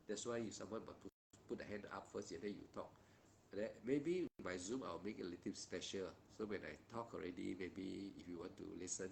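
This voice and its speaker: A man giving meditation guidance online, speaking haltingly with many fillers and repeated phrases. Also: lowish voice, a little hiss or hum. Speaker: meditation teacher. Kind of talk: live-streamed meditation teaching.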